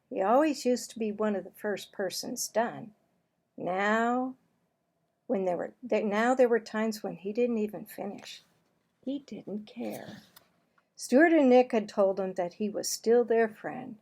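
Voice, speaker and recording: A woman reading a children's book aloud as a storyteller, deliberate and expressive. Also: medium pitch. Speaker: storybook reader.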